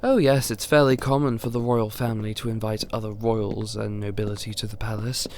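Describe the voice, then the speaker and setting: A man's voice: on the low side, level tone, a little fast, darkish tone, close to an everyday voice. A man plays a royal chamberlain in a fantasy audio drama. He is courteous and deferential, picking his formal words with some hesitation. Faint noise and ambience sit behind his voice. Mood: polite, hesitant. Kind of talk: fantasy audio roleplay acting.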